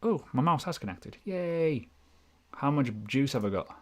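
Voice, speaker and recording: A man's voice: fairly high pitch, sing-song, measured pace, slight background noise. A man hosting a casual live question-and-answer stream, chatty and self-deprecating, rambling through asides. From United Kingdom. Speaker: crypto livestream host.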